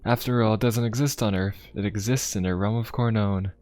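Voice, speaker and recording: A man playing a courteous, inquisitive young pope of a steampunk fantasy world in an ASMR roleplay, speaking politely, formally and in a gently musing way. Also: on the low side, steady tone, fairly quick, close to an everyday voice. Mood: courteous, explaining. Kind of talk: ASMR roleplay addressed to the listener. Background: music.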